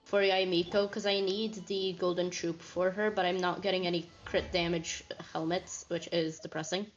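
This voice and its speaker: A woman in casual gaming chat, halting as she thinks aloud over a lo-fi voice call. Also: fairly low pitch, flat delivery, slight background noise.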